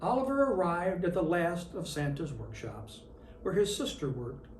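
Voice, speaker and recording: A reader in character as Santa Claus, reading a children's picture book aloud with expressive storytelling. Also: mid-range voice, moderate pace. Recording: roomy, distant mic with faint noise.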